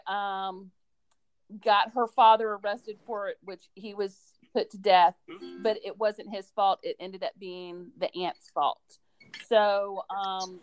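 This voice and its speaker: A woman's voice: mid-range voice, a little hiss or hum, rough recording. A woman chatting casually and enthusiastically about books as a school librarian on a podcast. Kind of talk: casual book recommendation chat.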